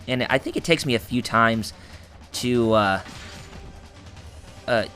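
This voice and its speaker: Male let's-play host, hoarse and raspy, chatty and rambling; bright tone. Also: medium pitch, slow pace, soft background music. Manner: rambling, full of fillers and restarts, jokey. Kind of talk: gameplay commentary.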